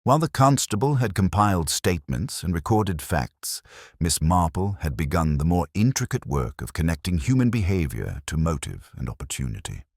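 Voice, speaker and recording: A man narrating a scripted mystery story as an audiobook, in a measured, formal storytelling manner. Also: low voice, sing-song, no echo, clean studio sound.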